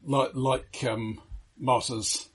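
A man's voice: medium pitch, little pitch movement, very slow, husky. A man co-hosting a film podcast, talking in a casual, conversational style.

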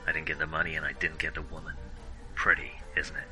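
A man voicing a hard-boiled man who confesses to a killing, in a low, flat, world-weary film noir voice-over. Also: quick pace, breathy edge, faint music behind, exaggerated voice. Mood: confessional.

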